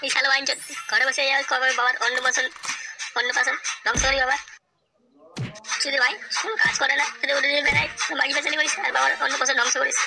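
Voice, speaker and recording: A very high, fast-talking cartoon dad, acted out by a woman, angry and foul-mouthed. He rants and berates in crude comic outbursts. Music plays behind him. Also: flat delivery, creaky, poor audio. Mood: angry scolding. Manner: cursing, ranting, berating. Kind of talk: comedy cartoon dialogue.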